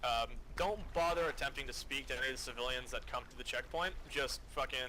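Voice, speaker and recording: A man's voice: mid-range voice, fast talker, rough recording. A foul-mouthed, bossy man giving an improvised mission briefing as squad leader over online game voice chat. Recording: loud background noise, game ambience.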